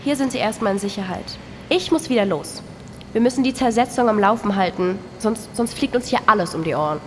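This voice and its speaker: A woman's voice: mid-range voice, animated, fairly quick, natural voice. A woman in a radio play acting a bossy, cynical, foul-mouthed female work boss with a brusque, scornful manner. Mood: harried, irritated. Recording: long hall reverb, faint noise.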